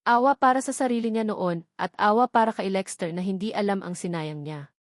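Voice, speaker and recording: A Filipino woman narrates a dramatic audio story in a mid-pitched voice. The sound is dry, with very little room around her, and the delivery is scripted storytelling. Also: fairly quick, dry recording.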